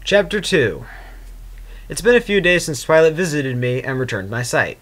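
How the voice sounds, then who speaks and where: A man with a slightly high voice reads fiction aloud in an amateur way. He narrates in the first person with expression, keeping an informal, homemade storytelling feel. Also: animated, moderate pace, fairly bright tone. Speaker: fan fiction reader. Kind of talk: amateur dramatic story reading with casual asides.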